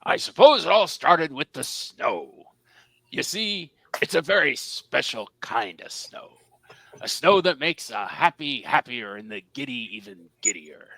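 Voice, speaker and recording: A man's voice: medium pitch, lively intonation, a little slow, creaky, sharp tone, no room sound, full character voice. A man voicing a folksy narrator of a Christmas cartoon, reading scripted storybook narration. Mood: warm storytelling.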